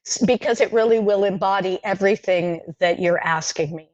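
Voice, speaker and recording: A middle-aged woman whose first language is French, recalling her childhood in a podcast interview, talking in a thoughtful, halting way with many fillers and restarts. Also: medium pitch, a little mellow, no room sound, lo-fi sound. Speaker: playwright guest.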